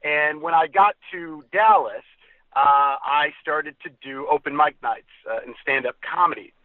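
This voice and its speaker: A man from the US Midwest, a guest who tells stories in a friendly way and pauses on many uhs. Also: fairly high pitch, dry recording. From Ohio, US Midwest. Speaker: guest, comedy performer and co-founder. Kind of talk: interview conversation. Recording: dry, tinny phone line.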